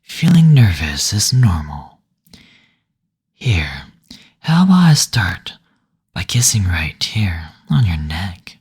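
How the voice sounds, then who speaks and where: A man playing a gentle, teasing demon in an intimate roleplay audio, speaking in a breathy, soothing, attentive way. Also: sits low, big pitch swings, heavily acted. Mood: tender, coaxing. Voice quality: breathy, husky. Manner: slow, soothing, tender, attentive. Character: gentle teasing male demon, brothel receptionist.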